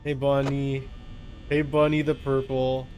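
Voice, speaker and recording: A man's voice: medium pitch, level tone, measured pace, a little mellow, faint music behind. A man streaming a game with casual, playful live commentary, chatting to viewers and to the game's characters.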